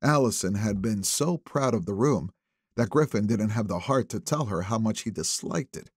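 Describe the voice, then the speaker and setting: A man's voice: on the low side, animated, moderate pace, slightly rough, dry recording, studio quality. A man reading a thriller novel as scripted audiobook narration.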